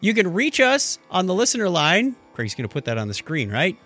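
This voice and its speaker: Animated man with a bright, lively voice. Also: high voice, a little fast, faint music behind. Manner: animated, exclamatory, joking. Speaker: podcast co-host. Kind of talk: casual podcast chat.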